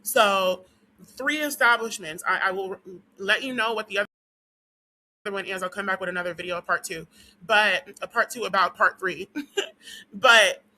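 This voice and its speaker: Woman vlogger, hoarse, losing her voice; very bright, tense tone; rambling, profane delivery. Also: mid-range voice, moderate pace, pauses often, low-quality recording. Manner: rambling and profane, with frequent "um" and "what do you call it". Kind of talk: casual rant to camera.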